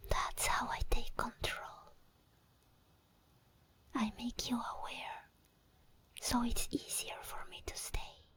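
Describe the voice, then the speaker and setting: A woman's voice: fairly high pitch, level tone, bright, forceful tone. Woman whispering as an obsessive, seductive female demon. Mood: possessive, teasing. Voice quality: breathy whisper. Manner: slow, intimate, lingering delivery. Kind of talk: whispered ASMR roleplay.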